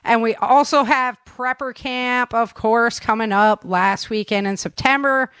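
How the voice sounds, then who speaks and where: A woman's voice: on the high side, unhurried, a bit bright, clean tone, dry recording. An American woman hosting a podcast in a casual, chatty, slangy way. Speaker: podcast host. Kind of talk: casual upbeat podcast chat.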